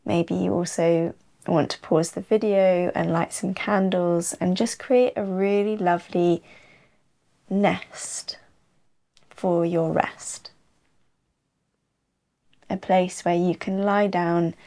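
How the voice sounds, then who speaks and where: Very slow-speaking woman guiding deep relaxation. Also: fairly even intonation, vocal fry, dark tone. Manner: unhurried, soothing delivery with long pauses. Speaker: yoga nidra teacher. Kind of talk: slow guided relaxation narration.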